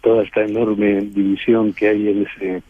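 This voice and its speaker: A man telling travel anecdotes as an interview guest, halting and digressive, often adding tag questions. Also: fairly low pitch, little pitch movement, breathy edge, no echo. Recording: muffled, phone-like narrowband line.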